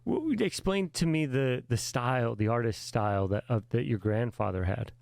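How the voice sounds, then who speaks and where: A man's voice: mid-range voice, expressive, moderate pace, vocal fry, darkish tone, dry recording. Man asking encouraging interview questions. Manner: encouraging, asks questions with restarts.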